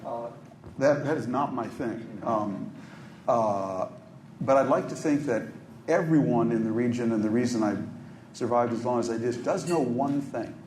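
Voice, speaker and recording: A man's voice: mid-range voice, normal speed, darkish tone. A man from Minneapolis, a journalist, giving thoughtful remarks on a conference panel, with frequent ums and restarts. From Minneapolis, USA. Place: hotel conference room. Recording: echoey conference room, faint noise.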